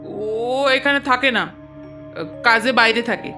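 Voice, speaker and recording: A man's voice: extremely high pitch, slow, pushed and bright, faint music behind, voice pushed up, exaggerated voice. A man voicing a shifty middle-aged village landlady who scolds and fakes crying. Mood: flustered. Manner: whiny, fake-crying, accusing.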